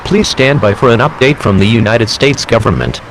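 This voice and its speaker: A man playing a formal, grave emergency alert announcer, reading a scripted warning in a measured way. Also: medium pitch, lively intonation, a little hiss or hum, close to an everyday voice, rough recording. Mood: grave, official. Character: solemn male emergency alert system announcer.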